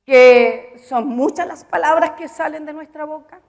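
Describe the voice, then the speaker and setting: Woman preacher with a high voice, emphatic and repetitive, asks the congregation questions. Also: unhurried, gravelly, darkish tone. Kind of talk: sermon.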